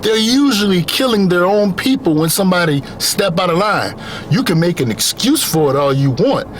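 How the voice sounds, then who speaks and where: A man's voice: sits high, fairly bright tone, slight background noise. A young man giving an earnest motivational monologue, driving his points home with repeated phrases. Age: young adult. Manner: earnest, repetitive emphatic phrasing. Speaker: vlogger.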